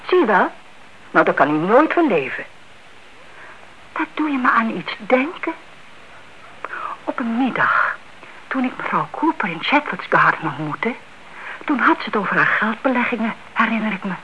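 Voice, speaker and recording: Nosy older aunt, animated and high-pitched. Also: female voice, normal speed, pauses often. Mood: gossipy, curious. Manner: chatty, insistent, inquisitive, repeats herself. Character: nosy, suspicious older aunt, a gossipy neighbour. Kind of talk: Dutch radio play acting. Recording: dull, noisy old radio-play recording.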